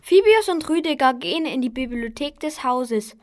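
A woman's voice: high voice, lively intonation, moderate pace. A woman narrating a scripted children's radio play as a storyteller.

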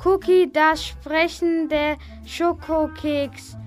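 A child playing a scheming TV-headed henchman in an amateur radio play, in a measured monotone. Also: sits high, music in the background. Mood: plotting, pondering. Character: scheming henchman with a television for a head.

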